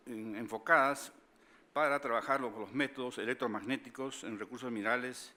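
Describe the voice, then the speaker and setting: Male moderator, formal intro with hesitant fillers, bright and sharp tone. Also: mid-range voice. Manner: reads a bio with hesitant fillers. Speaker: conference moderator introducing the speaker. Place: conference hall.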